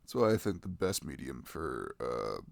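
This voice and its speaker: A young man playing a sweet, playful boyfriend in an intimate ASMR roleplay, rambling softly and casually close to the microphone. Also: very deep voice, very animated, normal speed, vocal fry, dry recording, exaggerated voice. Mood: casual, reflective. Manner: soft, rambling, frequent chuckles and hums. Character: sweet, playful, affectionate boyfriend cuddling his partner.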